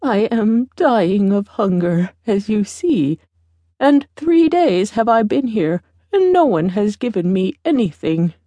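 A woman voicing a wise old beggar woman in a storybook tale, speaking in a deliberate, imploring and instructive manner. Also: mid-range voice, very animated, mellow, no echo. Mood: pleading.

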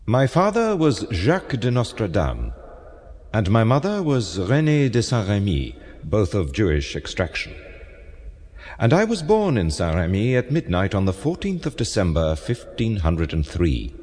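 An actor playing a solemn French Renaissance prophet and physician in an audio drama, declaiming gravely in formal, archaic phrases. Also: male voice, fairly low pitch, huge pitch swings, a little slow, a little mellow. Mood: solemn reminiscence. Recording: long cavernous reverb on voice.

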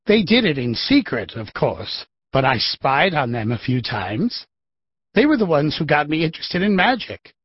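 Husky, animated, gentle magician. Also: male voice, a little high, normal speed, no echo. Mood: enthusiastic. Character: gentle, eager, bumbling male novice magician. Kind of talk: children's full-cast audiobook acting. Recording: dry, slightly dull audio.

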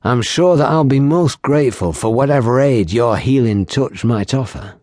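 A man reading an audiobook, voicing a rugged, wounded male hero who speaks softly and kindly. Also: medium pitch, lively intonation, normal speed, no echo, clean studio sound, natural voice. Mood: gentle. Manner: soft-spoken, kind.

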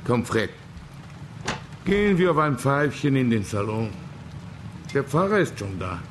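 An actor playing a bluff, well-to-do middle-aged uncle in a German radio drama. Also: male voice, mid-range voice, sing-song, unhurried, background noise. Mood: matter-of-fact, condoling.

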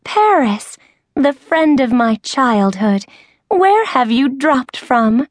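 A woman's voice: mid-range voice, lively intonation, slow pace, no echo, clean studio sound, voice pushed up. A woman voicing a gushing, chattering short woman meeting her childhood friend, in a storybook reading. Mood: amazed. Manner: effusive, chattering.

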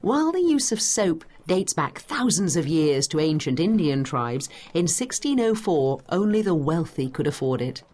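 A knowledgeable time-travelling history guide in an educational audio drama. Her voice sits a little low for a woman and swings animatedly as she explains things in a brisk, teacherly way. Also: sing-song, a little mellow. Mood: informative, brisk.

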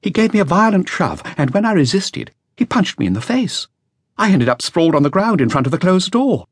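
A man's voice: high-pitched, very animated, a little fast, breathy edge, no room sound, studio quality, pitched above the performer's own voice, full character voice. A man voicing a nervous, earnest young male flautist telling what he witnessed. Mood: anxious. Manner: anxious, breathless, earnest.

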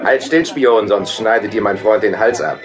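A man playing a gruff, suspicious, menacing tavern keeper in a German audio drama, blunt and surly. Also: on the low side, moderate pace, a loud crowd, natural voice. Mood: hostile, menacing. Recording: music under the dialogue.

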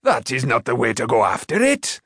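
A man voicing a stout old smith in a storybook, a gruff but kindly mentor who is wry and commanding. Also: medium pitch, lively intonation, takes it slowly, no echo, studio quality. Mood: alarmed. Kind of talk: storybook character voice.

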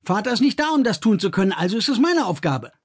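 A male narrator voicing a bold, defiant young man who talks fast and challenges his older partner. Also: high voice, very animated, breathy edge, pitched above the performer's own voice, exaggerated voice. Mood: determined.

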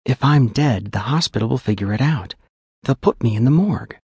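A woman's voice: extremely low pitch, expressive, a little fast, warm tone, husky, no echo, clean studio sound, full character voice. A man voicing a weak, sleepy woman who has just learned she is dead, her speech faint and wheezy. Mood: resolute. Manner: faint, wheezy, struggling for breath.

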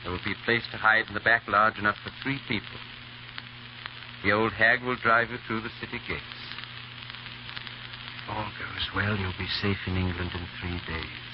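An actor playing a calm, guarded hero who goes about in disguise, in an old radio drama on a muffled, hissy recording. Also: male voice, on the low side, close to an everyday voice. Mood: urgent, secretive. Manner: measured, guarded, understated. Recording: muffled vintage radio recording, loud hiss.

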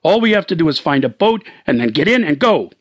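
A man reads this as a desperate husband on the run, his voice pushed high and swinging wildly as he snaps out orders. The commands come hurried and breathless, and he sounds frantic and commanding. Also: big pitch swings. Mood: urgent. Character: frantic middle-aged man fleeing with his wife.

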